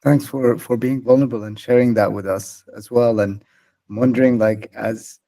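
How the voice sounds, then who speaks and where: A man hosting a podcast interview, gently affirming his guest and asking measured questions. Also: mid-range voice, fairly even intonation, creaky. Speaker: podcast host. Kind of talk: interview conversation.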